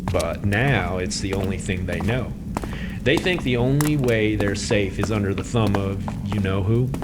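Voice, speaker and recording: An actor playing a young war chief in a fantasy audio drama, wary and tactful, with hesitant, folksy politeness. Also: male voice, fairly low pitch, moderate pace, very noisy, lightly acted. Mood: earnest, bitter.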